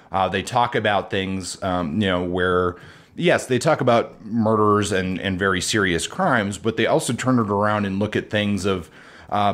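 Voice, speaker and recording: A man co-hosting a podcast, chatting casually and rambling a little with restarts. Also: fairly low pitch. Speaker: podcast co-host. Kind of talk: casual chat.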